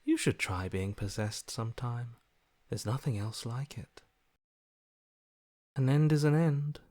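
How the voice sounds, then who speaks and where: An actor playing a detached, emotionless inner voice in a video game, dryly sardonic in manner. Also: male voice, mid-range voice, expressive, unhurried, darkish tone, no room sound. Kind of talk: scripted game voice acting.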